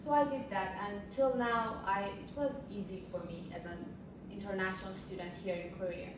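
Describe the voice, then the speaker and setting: A woman's voice: medium pitch, steady tone, a little fast. A young woman from Iran, an international student, chatting casually and repeating herself when searching for words. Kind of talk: casual chat. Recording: echoey room, far from mic, noisy.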